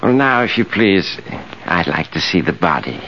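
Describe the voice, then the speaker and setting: Formal undertaker, low bright voice, courteous, businesslike, dull old radio recording. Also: male voice, very animated, moderate pace, a little hiss or hum. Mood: politely puzzled. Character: polite, unflappable, established male undertaker. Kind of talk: 1940s radio thriller acting.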